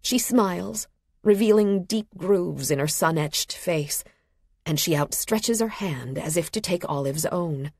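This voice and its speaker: A woman reading literary fiction as an audiobook narrator, with a measured, expressive delivery and a slightly husky voice. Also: lowish voice, no room sound, studio quality. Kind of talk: scripted literary fiction narration, present tense. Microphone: close studio microphone.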